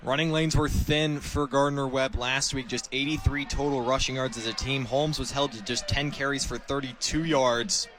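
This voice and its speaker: A man's voice: medium pitch, steady tone, normal speed, few pauses, a bit bright. A man with an American accent giving conversational, explanatory color analysis during a live football radio broadcast.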